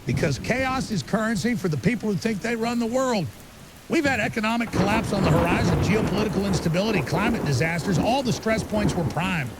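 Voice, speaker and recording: A man's voice: sits high, lively intonation, fairly quick. A man playing a grim, urgent conspiracy theorist as a guest on an apocalypse podcast drama, emphatic and ominous in clipped, certain statements. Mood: grim, insistent. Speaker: interview guest. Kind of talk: fictional apocalypse podcast interview. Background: rain and faint hiss.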